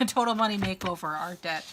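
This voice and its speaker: A woman's voice: medium pitch, normal speed, background noise. A middle-aged woman vlogging from home in a long, rambling casual chat, congested and fighting a dry cough, with many uhs and restarts. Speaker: crafter and vlogger. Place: at home, indoors.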